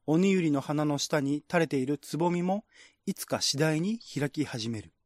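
A man's voice: a little high, normal speed, a little mellow, no echo, studio quality. A man reading scripted narration in a radio-drama style, as the narrator of a screenplay.

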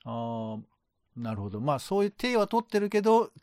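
Male co-host, medium pitch, dry sound, thinks aloud in relaxed casual chat with varied intonation. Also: fairly quick, dry recording.

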